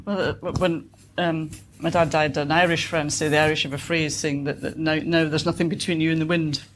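A woman's voice: sits low, little pitch movement, fairly quick, slight background noise. A woman in her fifties with a Scottish accent, an author answering questions conversationally in a reflective, wry way. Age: 50s. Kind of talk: conversational answers at a book event.

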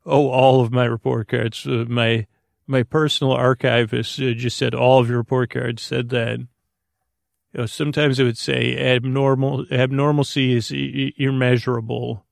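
A man hosting a podcast with a rambling bedtime monologue, halting and digressive, goofy and self-deprecating as he talks listeners toward sleep. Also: mid-range voice, level tone, creaky, no room sound, clean studio sound. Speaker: podcast host. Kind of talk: rambling, meandering bedtime monologue to help listeners fall asleep.

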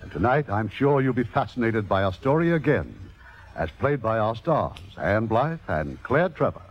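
A man's voice: medium pitch, big pitch swings, normal speed. A man hosting with a formal, scripted introduction in 1950s radio style. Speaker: producer and host. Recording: muffled old radio recording. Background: music.

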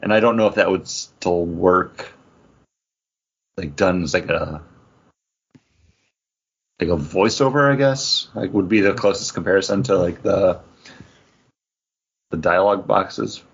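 A man's voice: low-pitched, measured pace, long pauses, husky. A man co-hosting a podcast, giving his take on comic books in casual chat. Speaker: podcast co-host. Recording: dull lo-fi podcast audio.

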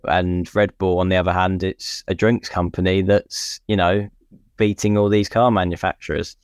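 Smooth, lowish-voiced man on a podcast. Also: flat delivery, normal speed, dry recording, studio quality. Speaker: podcast co-host. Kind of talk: casual motorsport chat.

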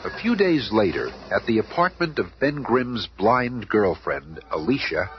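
A man acting as an excitable, grandiose narrator of a superhero radio serial. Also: on the low side, measured pace, slight background noise. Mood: dramatic, urgent. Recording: lo-fi old radio, loud music bed.

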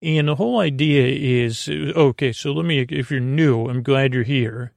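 A man with a creaky voice hosting a bedtime podcast, meandering hesitantly through a soothing, goofy monologue that keeps interrupting itself. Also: mid-range voice, unhurried, a little mellow, dry recording. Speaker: podcast host.

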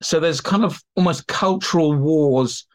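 A man's voice: fairly high pitch, measured pace. A man speaking as an expert guest, explaining theatre history in an informal, anecdotal way. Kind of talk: conversational explanation in a history interview.

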